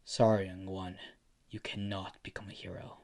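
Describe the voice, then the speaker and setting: A man's voice: medium pitch, measured pace, natural voice. Man as a kindly, weary superhero, casually reassuring. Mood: regretful. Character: kindly, hurried grown male superhero, weary and protective.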